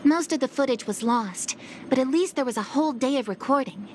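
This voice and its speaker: A woman's voice: high voice, a little fast, a little hiss or hum, lightly acted. A woman voicing a diligent, helpful official in a video game, formal and matter-of-fact. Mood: businesslike, earnest. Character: diligent, formal female official, a records clerk. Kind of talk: English video game voice acting.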